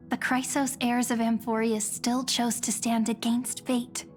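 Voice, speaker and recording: A wistful young female companion from a video game, acted by a woman. Her voice is lightly high, soft and earnest, with a lyrical storyteller's manner over background music. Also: natural voice. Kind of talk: scripted video game voice acting.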